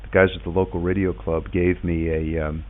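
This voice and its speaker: A middle-aged man chatting casually and reminiscing in a halting way, repeating words as he goes. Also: deep voice, flat delivery. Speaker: podcast host and ham radio operator. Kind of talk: casual radio chat, reminiscing. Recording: tinny phone-like internet radio link.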